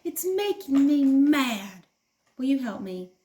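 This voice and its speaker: A woman's voice: sits high, big pitch swings, slow pace, mellow, pitched above the performer's own voice, heavily acted. A woman voicing a whiny young kangaroo girl from a children's storybook, stretching her words with emphasis. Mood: upset, pleading. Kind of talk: children's storybook read-aloud.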